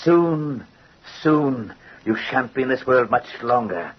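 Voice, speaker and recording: Vengeful doll-maker, muffled old radio horror. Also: male voice, medium pitch, expressive, slow, a little hiss or hum. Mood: menacing, exultant. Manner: theatrical, menacing, mocking. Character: vengeful, gloating male doll-maker and murderer.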